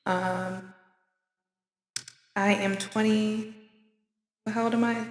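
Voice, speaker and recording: A woman's voice: medium pitch, fairly even intonation, drawn-out words. A woman in her twenties from New York, raised in North Carolina, co-hosting a podcast with loose, candid chatter and lots of fillers. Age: 20s. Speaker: podcast co-host. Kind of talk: casual chat. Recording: echoey room with long reverb.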